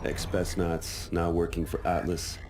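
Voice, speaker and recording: An actor playing a world-weary soldier narrating a war story in a grave, measured way for a game. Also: male voice, sits low, completely flat delivery, over loud music. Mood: grave, reflective. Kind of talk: scripted cinematic game narration.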